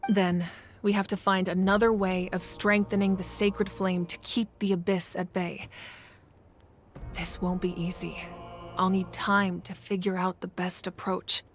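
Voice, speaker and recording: A woman playing a composed, authoritative war deity and leader in a video game, speaking in a measured, serious way. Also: medium pitch, level tone, natural voice. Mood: composed, grave. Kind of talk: video game character acting. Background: music.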